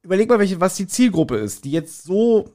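A man's voice: high-pitched, sing-song, fairly quick, a little mellow. Casual, explanatory man co-hosting a podcast. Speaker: podcast co-host.

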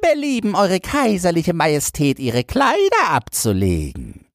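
A man voicing a sly, flattering swindler tailor in a fairy tale, with fawning, drawn-out showy praise and a husky voice. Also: high-pitched, no echo, clean studio sound, pitched above the performer's own voice, exaggerated voice. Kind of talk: fairy-tale audiobook character voice.

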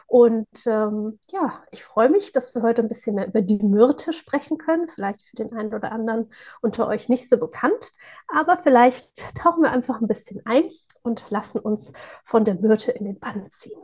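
A woman co-hosts a podcast in a casual, talkative way. Her voice sits a little high, and she often hesitates with small fillers while explaining. She sounds at ease. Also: animated. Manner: warm, chatty, with frequent filler pauses. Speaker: podcast co-host.

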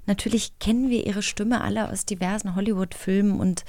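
A woman co-hosting a podcast in lively casual chat. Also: medium pitch, brisk, dry recording. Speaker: podcast co-host.

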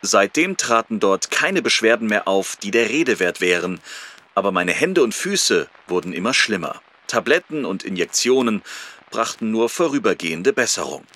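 A candid surgeon recounting his own illness in an audio drama. His voice sits fairly low, with a bright, forceful edge and lively intonation. He is reflective and deliberate, stressing his points. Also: heavily acted. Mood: reflective, matter-of-fact. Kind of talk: first-person audio drama monologue, read from memoir.

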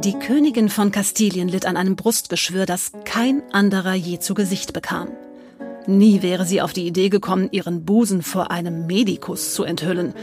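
A woman's voice: mid-range voice, steady tone, moderate pace. Female storytelling narrator over faint plucked guitar. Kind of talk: scripted historical storytelling narration. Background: soft plucked guitar music.

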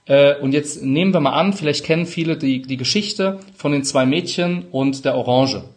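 A man's voice: fairly high pitch, moderate pace. A man, explaining conversationally as an interview guest and illustrating his points with examples. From Palatinate, Germany. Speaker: interview guest, lawyer, mediator and negotiation trainer.

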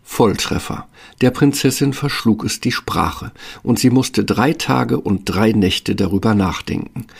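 A man's voice: fairly low pitch, measured pace, vocal fry, husky. A man narrating a fairy tale as a scripted storyteller. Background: music.

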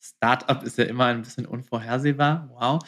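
A man's voice: medium pitch, fairly even intonation, brisk, fairly bright tone. A man hosting a podcast, interviewing in a casual, colloquial way with English phrases mixed in. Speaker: podcast host.

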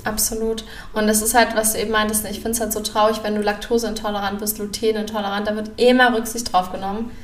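A woman's voice: medium pitch, flat delivery, fast talker, few pauses, crowd noise. A woman, a podcast co-host chatting casually in a frank, opinionated way. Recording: roomy, distant mic with background noise.

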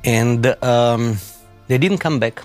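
A middle-aged man with a Russian accent, a professor giving measured, deliberate interview answers with occasional fillers. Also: medium pitch, darkish tone, faint music behind. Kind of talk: interview answers, recounting a case.

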